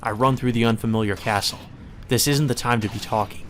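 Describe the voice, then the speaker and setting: A man's voice: medium pitch, brisk, fairly bright tone, slight background noise. A man hosting a let's play, reading a visual novel aloud as narration and dropping in informal, casual remarks of his own. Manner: informal, swears in asides. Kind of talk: narrated read-through with casual side comments. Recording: music playing behind the voice. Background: background music.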